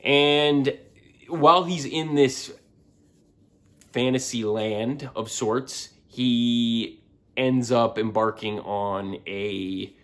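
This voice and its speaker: A man giving a casual book review to his viewers, often repeating words and backtracking as he talks. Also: medium pitch.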